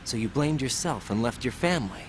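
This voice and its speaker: A man's voice: medium pitch, lively intonation, fairly quick, a little hiss or hum, natural voice. An actor playing a young rookie agent, earnest and impatient, questioning his allies in a video game cutscene. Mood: concerned. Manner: questioning, emphatic.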